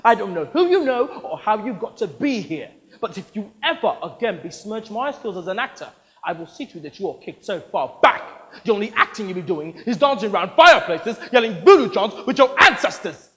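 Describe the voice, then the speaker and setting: Pompous, fiery male thespian as a storyteller plays him, florid and wildly animated, long hall echo. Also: sits high, quick pace, hardly any pauses. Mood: furious, threatening. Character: pompous, vain, hot-tempered male actor. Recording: very reverberant hall.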